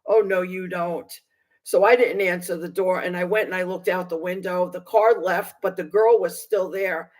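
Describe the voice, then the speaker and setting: A woman's voice: on the low side, steady tone, normal speed. Aggrieved woman in her sixties. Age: 60s. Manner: aggrieved, emphatic, repeats phrases for stress. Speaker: vlogger recounting personal experience. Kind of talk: personal testimony told to viewers.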